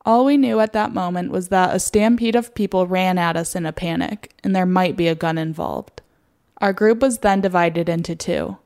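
A woman's voice: lowish voice, normal speed, darkish tone. A young American woman reading a scripted personal story as its narrator.

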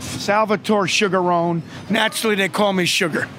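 A man who sells produce at a wholesale market, talking in a raspy voice and joking flirtatiously with a reporter. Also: high voice, steady tone, normal speed. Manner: jokey, flirtatious banter. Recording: clear noise of a busy market. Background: trucks beeping, crates moving.

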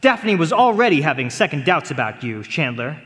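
Smug, high-pitched, needling schemer. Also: male voice, sing-song, normal speed, a bit bright. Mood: smug, menacing. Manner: wordy, mock-polite, needling. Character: smug, sly newspaperman, coolly threatening his rival. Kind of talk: live radio drama acting. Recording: reverberant concert hall stage.

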